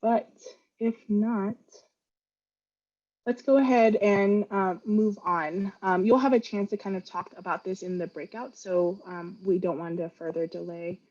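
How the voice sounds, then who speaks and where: A woman's voice: mid-range voice, fairly even intonation, a little slow, darkish tone, dull audio. A woman whose first language is Vietnamese, presenting an online training webinar in an earnest manner, with fillers and restarts, on a video call mic.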